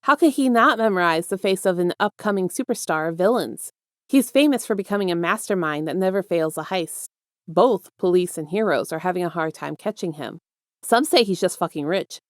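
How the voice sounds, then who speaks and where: A woman's voice: lowish voice, expressive, fairly quick, no echo, clean studio sound. A woman reading scripted fiction aloud as the narrator of an audio story. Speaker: podfic narrator.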